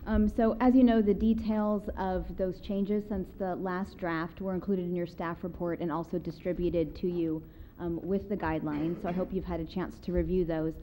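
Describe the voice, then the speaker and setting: A woman's voice: lowish voice, steady tone, moderate pace, mellow, slight background noise, rough recording. A woman on city planning staff presenting at a public meeting, careful and explanatory, with frequent fillers. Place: council chamber, seated at a table.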